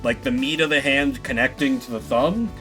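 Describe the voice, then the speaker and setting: A man's voice: medium pitch, normal speed, pushed and bright. Rambling, swearing male streamer. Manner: swearing, self-deprecating, rambling. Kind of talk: blind let's-play commentary. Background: game music.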